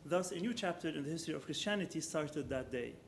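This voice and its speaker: Lecturing man with a higher voice. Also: expressive, gravelly, slight background noise. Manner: measured, thinking aloud, with frequent ums and self-corrections. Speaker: architecture professor giving a lecture. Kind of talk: academic lecture with slides.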